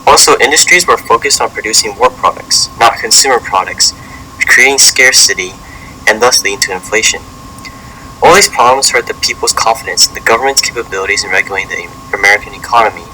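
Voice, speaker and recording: A man reading a scripted educational narration in a halting way, stumbling over words now and then. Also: fairly low pitch, bright, forceful tone, a little hiss or hum, low-quality recording. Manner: halting read-aloud delivery with stumbles.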